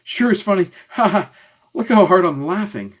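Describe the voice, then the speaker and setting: A man's voice: high-pitched, wildly swooping pitch, quick pace, dark tone, voice pushed up, full character voice. A man voicing a mouthy, wisecracking young boy from a comic, restless and sarcastic. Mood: mocking.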